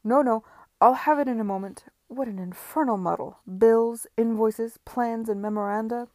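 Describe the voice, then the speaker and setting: Brief: female reader doing a frazzled, excited man, loud and hurried, mellow tone. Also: a little high, no echo, voice pushed up, natural voice. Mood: eager. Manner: loud, eager, rushed. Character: flustered excitable gentleman builder, scattered but eager.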